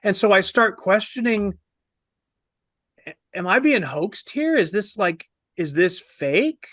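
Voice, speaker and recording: A man in his fifties telling a story in a casual, animated review on a video call, wordy and posing himself questions. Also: high voice, takes it slowly, dry recording, studio quality. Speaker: film review panelist.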